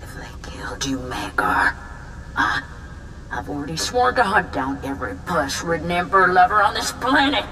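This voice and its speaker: Fierce resistance fighter, widely swinging voice. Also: female voice, sits low, very animated, moderate pace, pushed and bright, distant mic, a little hiss or hum, exaggerated voice. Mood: frantic, angry. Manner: blunt, fierce, hard-bitten. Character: tough, proud, battle-hardened older woman resistance fighter. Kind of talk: video game cutscene dialogue.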